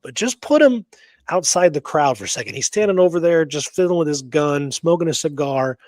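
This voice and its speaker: A man's voice: a little high, expressive, brisk, darkish tone, no echo. A man co-hosting a casual history podcast, speaking in an emphatic, informal, argumentative way. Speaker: podcast co-host.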